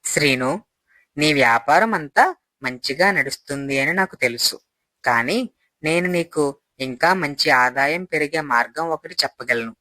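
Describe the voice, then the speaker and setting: Bright, coaxing young swindler played by a man. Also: a little high, lively intonation, measured pace, no room sound, low-quality recording. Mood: persuasive. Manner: persuasive, coaxing. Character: smooth-talking young male swindler posing as a friend.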